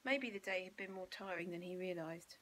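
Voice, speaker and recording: A woman's voice: on the low side, brisk, fairly bright tone, slight background noise. A woman with an English accent reading a children's storybook expressively, as a teacher would to pupils.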